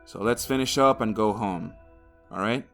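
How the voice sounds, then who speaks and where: A man's voice: on the low side, normal speed, creaky, a bit bright, soft background music. A man narrating an opinionated video game review in a crude, sardonic way, swearing freely.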